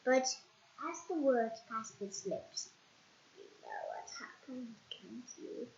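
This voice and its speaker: A child reading a storybook aloud in a halting, dramatic way, repeating words. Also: medium pitch, sing-song, vocal fry. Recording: dull, echoey home recording.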